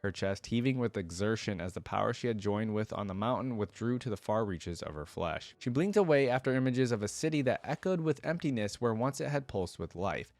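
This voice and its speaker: Low-voiced man, close dry sound. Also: expressive, a little fast, few pauses, darkish tone, no room sound. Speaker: reaction video host reading a story aloud. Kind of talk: story narration with casual fan commentary.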